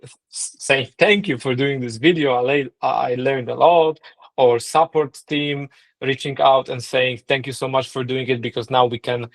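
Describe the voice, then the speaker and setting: A man with a Polish accent answers a podcast host's questions in English, a language he learned later. He thinks aloud, restarting phrases and checking in with "right?". His voice sits at an ordinary middle pitch. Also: steady tone, measured pace. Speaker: co-founder and marketing lead, guest. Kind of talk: podcast interview.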